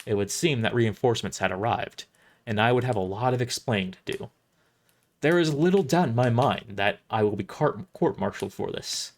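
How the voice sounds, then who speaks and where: A man reading a story aloud on a livestream in scripted narration, with casual asides. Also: on the low side.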